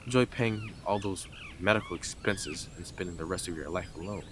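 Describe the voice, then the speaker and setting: A man's voice: low-pitched, fairly quick, background noise, lightly acted. A man playing a blunt, sarcastic, teasing stalker who is devoted to the listener in an intimate roleplay audio drama. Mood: offended, huffy.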